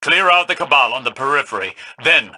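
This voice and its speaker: A shrewd veteran commander, with a forceful, bright voice recorded very dry. He gives orders and probes in a measured, cryptic manner, like a spymaster in a sci-fi game. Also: mid-range voice, expressive, no echo. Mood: stern, commanding. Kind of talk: scripted game voice acting.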